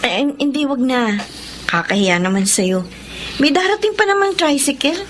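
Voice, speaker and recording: A woman's voice: sits high, sing-song, normal speed, a little hiss or hum. An actress playing a steadfast young mother in a radio drama, emotional and protesting in delivery. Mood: weary, demurring. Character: steadfast young mother, stubbornly loyal to missing husband.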